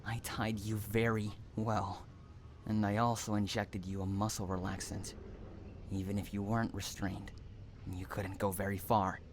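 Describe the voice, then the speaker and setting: A man's voice: on the low side, darkish tone, a little hiss or hum, natural voice. A man acting an obsessive, unhinged stalker in an audio roleplay, cooing pet names and drawing out words between tenderness and anguish. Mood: menacing, coaxing. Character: obsessive, unhinged male stalker lover, sweetly possessive and menacing. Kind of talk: dramatic roleplay audio monologue.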